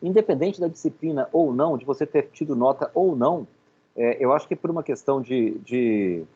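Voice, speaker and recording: Quick, husky Brazilian man, muffled audio. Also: medium pitch, animated, warm tone. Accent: Brazilian Portuguese. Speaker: professor. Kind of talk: informal teaching feedback.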